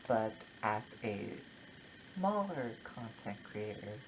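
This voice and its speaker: A content creator talking casually and personally, in a halting, reflective way with short broken phrases. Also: mid-range voice, sing-song, slight background noise, low-quality recording. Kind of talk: casual personal vlog, opinion talk to camera.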